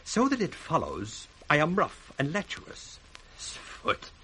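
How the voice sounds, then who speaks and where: An actor with a British accent playing a sly, ambitious bastard son in a theatrical, sardonic 1960s radio Shakespeare style. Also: male voice, a little high, huge pitch swings, slow pace, sharp tone, exaggerated voice. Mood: mocking, scornful. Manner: theatrical, sardonic, crisply declaimed. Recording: faintly hissy 1960s radio recording.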